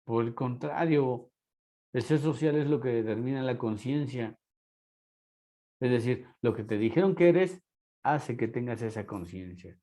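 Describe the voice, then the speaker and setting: A man's voice: medium pitch, animated, measured pace, mellow. A man with a Mexican accent, lecturing a class and asking rhetorical questions. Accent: Mexican Spanish. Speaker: teacher.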